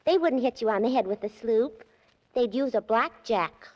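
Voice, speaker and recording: An actress playing a naive, chatty, scatterbrained secretary with guileless comic delivery in 1940s radio style. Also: female voice, fairly high pitch, animated, moderate pace, heavy background noise, lightly acted. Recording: muffled 1940s radio, long reverb.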